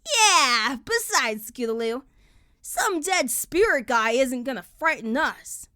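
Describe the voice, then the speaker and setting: Lady doing a cocky pony, high and sharp, brash, not impressed, cartoon audio play. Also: sing-song, slow pace, full character voice. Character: cocky, brash, unimpressed adult pony mare. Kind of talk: cartoon fan fiction audio drama acting.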